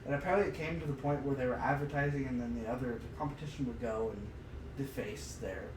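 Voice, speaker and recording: A man's voice: mid-range voice, fast. A man co-hosting a podcast, chatting casually and reading aloud from a book, sometimes stumbling over the words. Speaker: podcast co-host. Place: untreated room, podcast recorded on a live stream. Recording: roomy, distant mic with noticeable noise.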